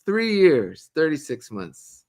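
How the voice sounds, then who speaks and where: A middle-aged man co-hosting a casual live Q&A, chatting easily and reading viewer comments aloud with a joking, relaxed manner. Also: a little high, slow pace. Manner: chatty, jokey, reads viewer questions aloud.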